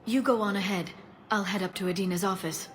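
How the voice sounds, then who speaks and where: A woman's voice: mid-range voice, steady tone, brisk, natural voice. A woman playing an earnest, concerned scientist in a video game cutscene. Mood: composed.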